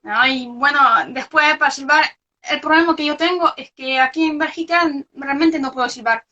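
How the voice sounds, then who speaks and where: A woman's voice: high voice, moderate pace, sharp tone. A young woman from Belgium, a researcher whose first language is not Spanish, talking hesitantly with many fillers and restarts in a casual interview. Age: young adult. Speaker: researcher guest. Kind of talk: casual interview over a live video stream. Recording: lo-fi online video call audio.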